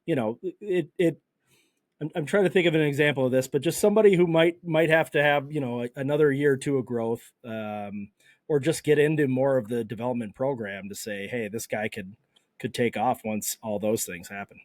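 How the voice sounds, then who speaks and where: Man, podcast host, rambling questions, repeats words, middle pitch, dry close sound. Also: a little fast, a little mellow, no room sound. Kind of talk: casual podcast chat.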